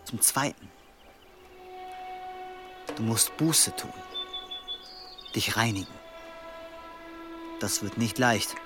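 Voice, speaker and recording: A man's voice: fairly low pitch, very slow, long pauses, music in the background. A man playing a stern, righteous medieval monk in a German radio play, admonishing and interrogating. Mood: cold, commanding.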